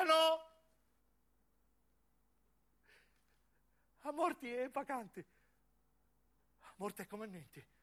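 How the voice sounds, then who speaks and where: An actor playing an anguished young man dying in a crash, in slow, fragmented Italian radio theatre with a southern accent. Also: extremely high pitch, sharp tone, heavily acted. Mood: desperate, terrified. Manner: slow, fragmented, intense delivery. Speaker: narrating stage actor. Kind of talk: dramatic monologue, narrative radio theatre.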